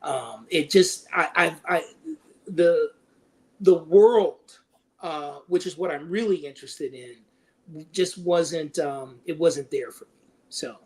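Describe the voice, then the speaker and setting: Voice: mid-range voice, slow, a little mellow. A panelist in a casual discussion, thinking aloud and tentatively working through opinions. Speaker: panelist on a film and TV discussion show.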